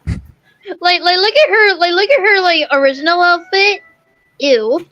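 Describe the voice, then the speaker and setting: High-voiced, giggly woman gaming streamer. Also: lively intonation, measured pace, sharp tone, muffled recording, slight background noise. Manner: giggly, gushing, slangy. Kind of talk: casual gameplay commentary.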